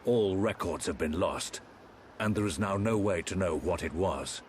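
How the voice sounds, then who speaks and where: Man playing a deep-voiced, solemn fantasy emperor. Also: slight background noise, lightly acted. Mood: grave. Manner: formal, measured, grave. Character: solemn aging emperor, stately fantasy ruler and father. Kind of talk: dramatic video game voice acting.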